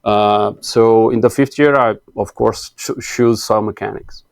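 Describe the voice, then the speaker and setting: Portuguese-accented man, halting speech. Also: fairly low pitch, steady tone, creaky, smooth, no echo, studio quality. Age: 30s. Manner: hesitant, many fillers and restarts. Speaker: guest engineer. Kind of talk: casual interview chat.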